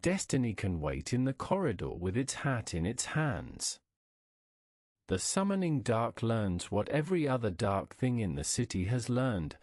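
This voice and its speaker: A man with a British accent reading a scripted bedtime story in a measured, deliberate way as narrator. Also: medium pitch, big pitch swings, a little mellow, no room sound, clean studio sound. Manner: measured, deliberate read-aloud delivery.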